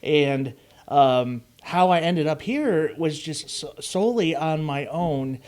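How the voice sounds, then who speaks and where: A man's voice: on the high side, animated, takes it slowly, a little mellow. A man in his thirties with a Chicago-area Midwestern accent, hosting a casual podcast and telling his story in a rambling way, full of "uh" and "like". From Chicago area, Illinois. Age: 30s. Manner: rambling, storytelling, many "uh" and "like" fillers. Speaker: podcast host.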